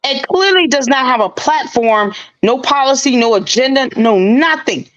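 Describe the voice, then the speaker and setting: Opinionated female guest, high voice, casual commentary. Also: lively intonation, normal speed, a bit bright, dull audio. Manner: opinionated, talks at length. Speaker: livestream guest.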